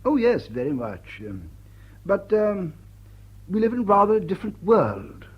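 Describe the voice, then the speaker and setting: An actor playing a stiff, shy, earnest lord in a period comedy, formal and haltingly awkward in speech. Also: male voice, high-pitched, big pitch swings, slightly rough, phone-quality sound, heavily acted. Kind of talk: period stage comedy radio drama.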